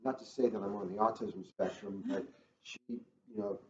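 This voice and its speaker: A man's voice: lowish voice, fairly even intonation, normal speed. A man who works as a school police officer talks casually and earnestly, repeating phrases for emphasis. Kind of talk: casual, earnest talk to children. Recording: roomy, muffled, faintly noisy.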